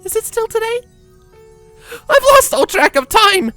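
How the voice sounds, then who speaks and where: A frantic female cartoon unicorn villain acted out by a man in a story reading. The voice is extremely high and swoops wildly, overwrought and despairing. Music sits softly underneath. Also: sing-song, a little slow, no room sound, full character voice. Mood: hysterical. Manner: overwrought, rambling.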